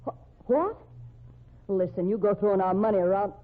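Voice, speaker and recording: A woman's voice: mid-range voice, moderate pace, lightly acted. An actress playing a tough, outspoken cowgirl wife with blunt, slangy talk in an old-time radio drama. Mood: indignant. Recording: tinny, band-limited old radio recording.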